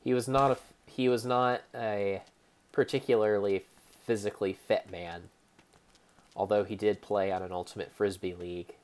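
Man, young adult, low voice, theatrical storybook narration, deliberate mock-serious delivery, background noise. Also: steady tone. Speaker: game streamer. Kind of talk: dramatic storybook-style narration over gameplay.

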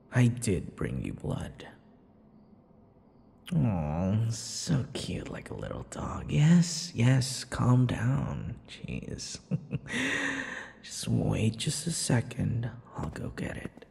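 Man acting a slow, gravelly, possessive vampire lover. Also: a little mellow, big-room sound, a little hiss or hum, exaggerated voice. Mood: doting, possessive. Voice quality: low, gravelly. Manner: slow, breathy murmurs dropping into whispers, mocking endearments. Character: possessive, teasing male vampire lover, smug and menacing. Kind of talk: ASMR audio roleplay.

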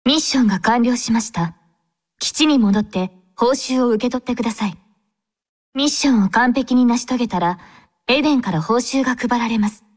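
Anime game role: young female android squad captain, played by a woman, devout, courteous, composed, lightly high-pitched. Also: natural voice. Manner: formal, gentle, composed. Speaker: game character voice actor.

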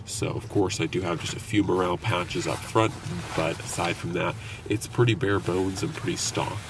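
A young man talking casually about his outdoor gear from inside a parked car, with relaxed, conversational speech and plenty of fillers. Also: low-pitched, normal speed. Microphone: camera on the dashboard. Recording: somewhat distant mic, noticeable background hiss.

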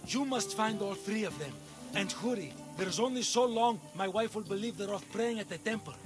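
A man's voice: high voice, moderate pace, natural voice. An actor playing a harried middle-aged father of three unruly sons, exasperated and grandly theatrical. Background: game music.

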